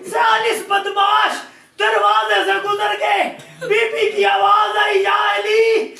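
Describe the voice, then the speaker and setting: A man recites a mourning sermon in a very high, gravelly, sharp voice, shouting out each slow line as a lament. He sounds far from the mic in an echoey hall. A faint crowd murmurs behind him. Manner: declaiming and shouting with lamenting emphasis.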